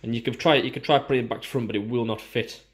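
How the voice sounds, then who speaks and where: A man's voice: mid-range voice, steady tone, very fast. A British man informally explaining and showing items in a casual unboxing review. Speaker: product reviewer.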